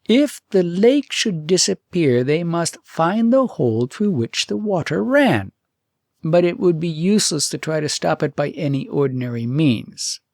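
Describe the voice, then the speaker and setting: Casting: male narrator as a solemn fairytale oracle, stern, measured and grave, dry recording. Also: fairly high pitch, lively intonation, vocal fry, darkish tone, no room sound, voice pushed up. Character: solemn, stern oracle inscription read aloud.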